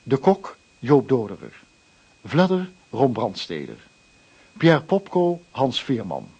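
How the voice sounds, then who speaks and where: Male announcer, scripted radio credits, formal, varied intonation, slightly rough voice. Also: mid-range voice, wildly swooping pitch, takes it slowly, warm tone, muffled recording.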